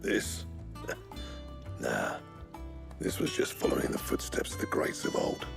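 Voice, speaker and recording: An actor with a British accent playing a hearty, plain-spoken, good-humoured blacksmith. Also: male voice, measured pace, natural voice. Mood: amazed, pleased. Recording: music behind the voice.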